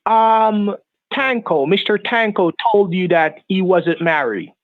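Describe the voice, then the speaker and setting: A man on a phone call, speaking in halting accusations and repeating himself. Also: high-pitched, no echo. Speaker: phone scammer. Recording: tinny dry phone line.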